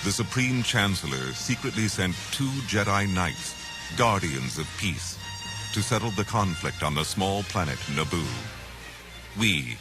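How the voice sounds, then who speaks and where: Male storybook narrator: deep, authoritative, dramatic scripted read over a musical score. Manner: solemn, deliberate, authoritative.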